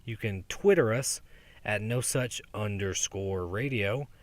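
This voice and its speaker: A man's voice: lowish voice, slow pace, no echo. Profane, sarcastic man rambling outdoors. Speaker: podcast host. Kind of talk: rambling casual monologue to camera. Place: outdoors in daylight.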